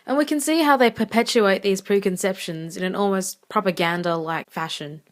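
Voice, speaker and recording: A woman's voice: mid-range voice, normal speed. Young woman narrating a scripted video essay. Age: young adult. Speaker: student presenter.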